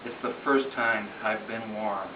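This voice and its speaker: A man voicing a freezing gold prospector in a recited ballad, with a plaintive, folksy, drawn-out delivery. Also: on the low side, steady tone, lightly acted. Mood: content, mild. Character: freezing, dying gold prospector pleading his last wish. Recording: roomy distant muffled home recording, faint noise.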